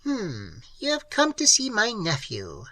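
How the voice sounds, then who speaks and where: A man voicing a sour, secretive old woman with a croaking voice, in an audiobook reading. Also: sits high, huge pitch swings, unhurried, bright, forceful tone, no echo, pitched above the performer's own voice, full character voice. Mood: curt. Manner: sour, prying, confidential. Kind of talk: audiobook character voice.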